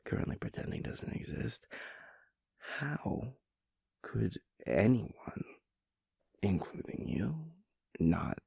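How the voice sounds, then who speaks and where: Gravelly, breathy, drowsy boyfriend played by a man. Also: deep voice, a little mellow, dry recording, heavily acted. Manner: halting, mumbling, playfully pompous wordy rambling. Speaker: audio roleplay performer. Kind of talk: intimate audio roleplay, half-whispered comic monologue.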